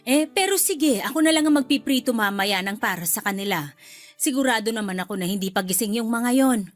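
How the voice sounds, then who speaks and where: An actress playing a brisk, chatty middle-aged mother-in-law with a Filipino accent in a radio drama. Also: fairly high pitch, expressive, soft background music, lightly acted. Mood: fussy, resigned.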